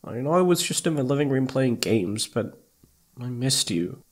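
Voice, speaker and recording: A man playing a needy, affectionate young boyfriend in an intimate ASMR roleplay, speaking in a soft, pleading, hesitant way. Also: mid-range voice, expressive, moderate pace, lightly acted. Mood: shy, lonely. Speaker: audio roleplay voice actor.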